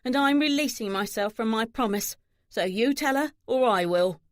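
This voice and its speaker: A woman's voice: on the high side, expressive, normal speed, no room sound, clean studio sound, lightly acted. A woman as a stern, protective older maidservant. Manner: scolding, blunt, insistent. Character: stern older female servant, fiercely protective, scolding.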